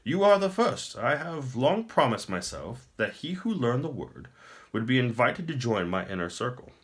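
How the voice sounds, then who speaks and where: A man voicing a gloating, smooth-talking wizard emperor in a storybook, theatrical, grandly formal and mockingly courteous. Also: medium pitch, very animated, normal speed, pitched above the performer's own voice, lightly acted. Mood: coaxing. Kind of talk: audiobook character acting.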